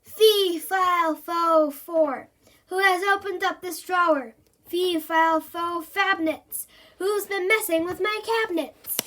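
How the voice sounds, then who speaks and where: A woman's voice: steady tone, takes it slowly, a bit bright, heavily acted. A woman reading a children's story aloud and voicing a fussy, growling monster that is obsessed with filing. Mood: roaring. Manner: booming, indignant, growling.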